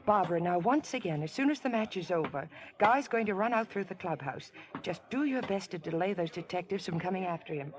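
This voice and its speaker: A woman's voice: fairly low pitch, animated, brisk, few pauses, close to an everyday voice. An actress playing an earnest, anxious young society woman in 1950s radio drama, proper and urgent.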